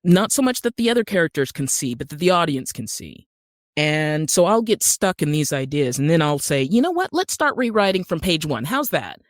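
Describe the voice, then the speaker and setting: A woman with an American accent, talking as an interview guest in a conversational, animated storytelling way that acts out bits of dialogue. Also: low-pitched, moderate pace, no room sound, clean studio sound. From Utah, United States. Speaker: guest, actor and screenwriter.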